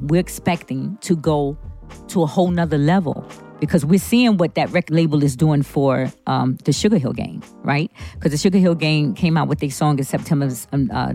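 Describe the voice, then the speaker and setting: A woman's voice: deep voice, mellow. A middle-aged or older woman, a musician, telling her story in an interview and building points through repetition. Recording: music playing behind the voice.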